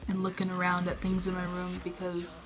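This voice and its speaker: Teen girl vlogger, low flat-ish subdued talk, rambling, faint music under. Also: a little fast. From New York State. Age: teens. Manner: low subdued voice, self-conscious, rambling with many fillers. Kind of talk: casual rambling to camera. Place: her bedroom. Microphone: camera in her room.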